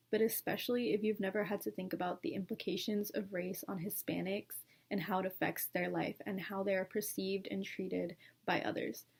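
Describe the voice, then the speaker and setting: A woman's voice: medium pitch, darkish tone, slightly rough. A young woman from Colombia, a student, reading a scripted personal narration in an earnest, reflective way. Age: young adult.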